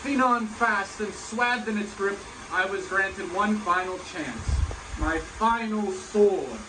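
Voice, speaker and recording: A man's voice: high-pitched, takes it slowly, pitched above the performer's own voice. A man playing a boastful heroic warrior, declaiming an epic monologue with proud, dramatic delivery. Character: boastful heroic warrior recounting sea battles. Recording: echoey room, clear background noise.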